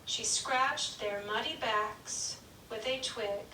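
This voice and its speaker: A woman narrating a children's puppet show story in a slow, gentle, clearly paced reading. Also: fairly high pitch, slight background noise. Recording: echoey room, distant mic.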